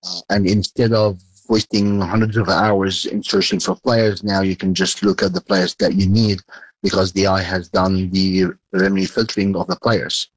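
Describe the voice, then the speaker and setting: Halting man in an interview, low dry voice. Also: little pitch movement, breathy edge, no echo, poor audio. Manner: halting, many hesitations and restarts. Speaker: sports data company chairman, interview guest. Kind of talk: news interview answers.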